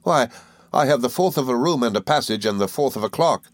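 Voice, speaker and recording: A man voicing a cheerful, good-natured young gentleman law student in an audiobook, eager and flustered, with little stammering hesitations. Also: medium pitch, lively intonation, a little fast, no room sound, voice pushed up, close to an everyday voice. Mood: cheerful, earnest. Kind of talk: audiobook character dialogue.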